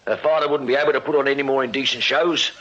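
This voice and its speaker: Actor as a bright-voiced dutiful English police constable. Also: male voice, mid-range voice, steady tone, fast talker, close to an everyday voice. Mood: earnest. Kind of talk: British radio drama acting. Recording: dull, lo-fi radio recording.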